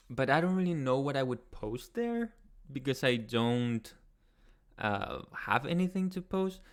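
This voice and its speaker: A young man from Chile with a Chilean Spanish accent, hosting a casual livestream and answering chat in a soft, hesitant way with many pauses. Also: mid-range voice, smooth. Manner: soft-spoken, hesitant, many ums and restarts. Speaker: ASMR channel host. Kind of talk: casual livestream chat, reading viewer comments.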